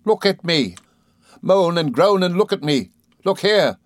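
A fierce, vengeful woman with a scar spits shrill accusations in a high, strained voice, as a male reader puts her on. The sound is dry and close, with no room around it. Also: animated, unhurried, no echo. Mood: furious.

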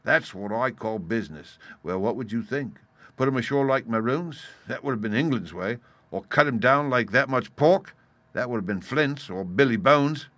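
A man voicing a scheming, swaggering pirate ringleader in a storybook, speaking forcefully in rustic seafaring dialect. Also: mid-range voice, lively intonation, moderate pace, dry recording, natural voice. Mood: gloating. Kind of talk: dramatised storybook reading.

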